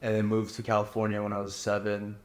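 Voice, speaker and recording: A man's voice: lowish voice, quick pace, roomy sound. A young man from Korea answering interview questions candidly and casually, with plenty of "like" and "you know". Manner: candid, relaxed, many fillers like "you know" and "like". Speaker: reality show contestant.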